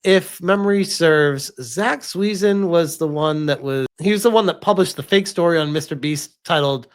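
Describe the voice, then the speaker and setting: A man's voice: a little high, clear, resonant tone. Incredulous man doing casual gaming commentary. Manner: incredulous, informal, halting emphatic delivery with rhetorical questions. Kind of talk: casual livestream commentary reacting to gaming news.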